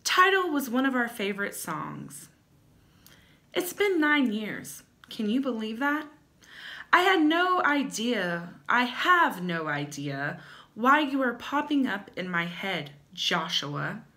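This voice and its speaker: Bright-voiced, slow-paced young woman, theatrical. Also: a little high, very animated. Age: young adult. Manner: theatrical, sardonic, emphatic pauses. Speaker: vlog host. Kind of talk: dramatic comedic reading with wry asides. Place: small plain-walled room at home.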